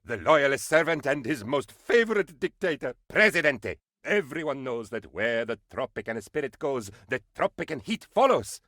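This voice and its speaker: An actor voicing an excitable, devoted, scatterbrained aide to an island dictator, theatrical and eager to please, in a video game. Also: male voice, a little high, no echo, studio quality. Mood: eager, pleading. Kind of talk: video game character voice lines.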